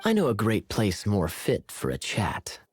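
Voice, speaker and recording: A man voicing a suave, teasing cavalry captain in a video game, smooth and theatrical. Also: fairly low pitch, wildly swooping pitch, a little slow, slight background noise. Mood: playful.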